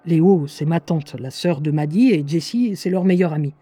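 A woman's voice: deep voice, normal speed, dark tone, husky, faint music behind. An actress playing a weary, sardonic woman who narrates her diary in a confiding way in a French radio drama. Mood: weary, wry. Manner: wry, exasperated, confiding.

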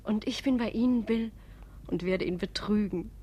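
A woman's voice: medium pitch, moderate pace, dark tone, husky, natural voice. An actress in a German radio play voicing a flirtatious, restless married society lady with an effusive, coquettish manner. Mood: seductive.

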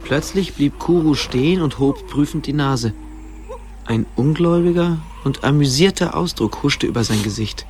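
A man's voice: fairly high pitch, lively intonation, moderate pace, a little mellow, a little hiss or hum. A man narrating a story as scripted narration for a German radio play.

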